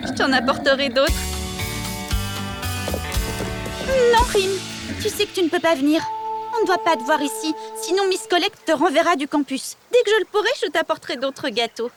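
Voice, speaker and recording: A woman voicing a kind, anxious young girl in a cartoon, expressive and earnest in her delivery. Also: extremely high pitch. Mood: affectionate. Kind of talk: French-dubbed animated series acting. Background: music.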